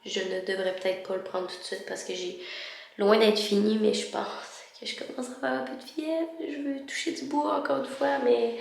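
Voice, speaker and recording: Young woman, Québécois accent, student vlogger, congested, gravelly low voice, echoey room. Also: steady tone, moderate pace, slight background noise. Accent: Québécois French. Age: young adult. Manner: chatty, mixes English words into French. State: congested, sore throat. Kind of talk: casual study vlog talk to camera. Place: at home.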